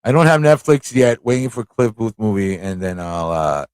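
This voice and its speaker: A man's voice: lowish voice, very animated, normal speed, darkish tone, smooth, no room sound. Casual male live streamer, dry close sound. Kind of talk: casual live stream chat, reading aloud and reacting.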